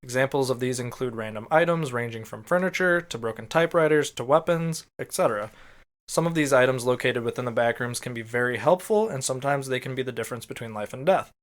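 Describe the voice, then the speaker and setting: A man's voice: mid-range voice, fast talker. A man co-hosting a podcast, explaining from notes in a casual way with some loose swearing. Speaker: podcast co-host. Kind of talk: explainer read from notes mixed with casual banter.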